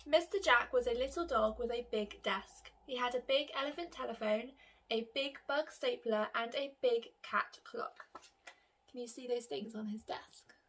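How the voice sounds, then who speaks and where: A woman's voice: a little high, moderate pace, a bit bright. A woman reading a picture book aloud to children like a teacher, expressively and with questions to her young listeners.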